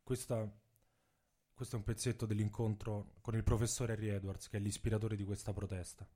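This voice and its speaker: A man narrates literary prose in a dramatic reading. His voice sits on the low side and has a very soft, dark, mellow tone. He sounds like an author telling his own story. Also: level tone, fairly quick.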